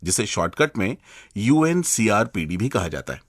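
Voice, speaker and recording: A man co-hosting a radio show, reading a scripted conversation in a lively, conversational way. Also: lowish voice, moderate pace, dry recording. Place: radio studio.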